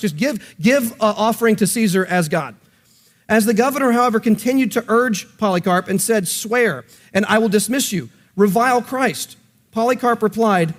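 A man teaching the Bible conversationally and emphatically, repeating phrases to drive his points home and reading passages aloud. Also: high-pitched. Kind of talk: conversational Bible teaching with read-out scripture and quotations.

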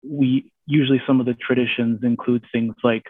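Canadian-raised guy, hesitant, lots of ums, flat even voice, sounds like a phone line. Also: mid-range voice, husky, no echo. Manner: hesitant, many pauses and fillers. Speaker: guest. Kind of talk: casual interview talk. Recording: dry, tinny phone-like line.

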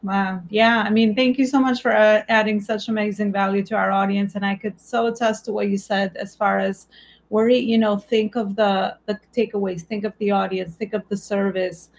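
A woman with an Albanian accent, a native Albanian speaker, hosting an interview and asking questions in a warm, halting way with many fillers. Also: mid-range voice, flat delivery. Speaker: podcast host.

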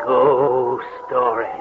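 An actor playing a macabre old hermit who hosts a 1930s radio horror play with slow, gleeful menace. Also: male voice, very high voice, heavily acted. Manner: slow, drawn-out, cackling menace. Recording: scratchy, narrow-band 1930s radio, heavy noise.

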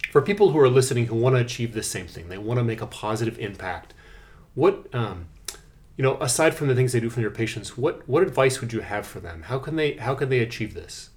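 A man's voice: medium pitch, animated, brisk. A man with an American accent, conversationally interviewing a guest as a podcast host.

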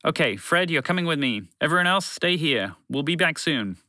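A man voicing a calm, decisive moose who gives brief orders in a children's story. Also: a little high, normal speed, no room sound, clean studio sound, voice pushed up. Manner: brief, commanding.

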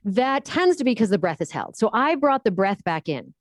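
Animated woman coaching on breath. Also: normal speed, dry recording, studio quality. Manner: demonstrative, swings pitch high and low to illustrate. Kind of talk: explanatory interview talk with vocal demonstrations.

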